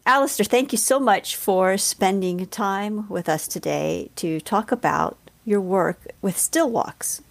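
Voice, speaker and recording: A woman conducts an interview in a mid-pitched voice with plenty of vocal fry. She speaks in a considered way, often pausing partway through a sentence. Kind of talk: interview, prepared questions with reflective remarks.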